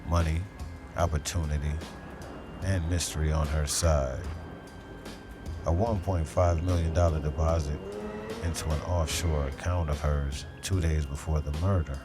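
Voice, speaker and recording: A man playing a brooding, hardboiled police detective, narrating a noir audio drama in a measured, poetic, deadpan way. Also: a bass voice. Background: music.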